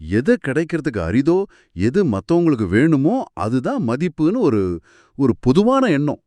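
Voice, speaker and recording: A man's voice: a little high, big pitch swings, unhurried, warm tone, dry recording. A man co-hosting a conversational podcast about a folk tale, explaining things and posing reflective questions. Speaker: co-host.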